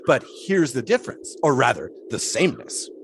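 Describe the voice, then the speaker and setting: Brief: 1920s pole sitter, lofty and musing, lively swooping delivery, faint ambience. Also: male voice, mid-range voice, moderate pace, a little hiss or hum. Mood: lecturing. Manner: grandiose, musing, theatrical. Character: philosophical 1920s male flagpole sitter. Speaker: flagpole sitter being interviewed. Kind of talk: period audio drama acting.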